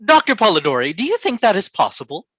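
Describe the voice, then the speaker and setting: A woman's voice: medium pitch, very animated, fast talker, a bit bright, slightly rough, dry recording. A woman playing an arrogant, teasing male poet in a period drama reading, with a mocking, theatrical drawl. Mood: skeptical, mocking.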